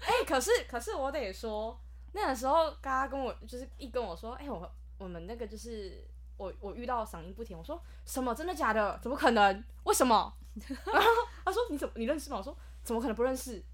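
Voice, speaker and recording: Lively, quick-talking young woman. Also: on the high side. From Taiwan. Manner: animated, laughs often, stumbles over words. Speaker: podcast host. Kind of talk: casual chat.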